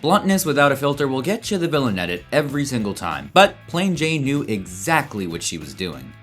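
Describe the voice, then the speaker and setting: A man's voice: mid-range voice, very animated, a little fast, a bit bright, soft background music. A man doing scripted countdown narration with a wry, punchy delivery.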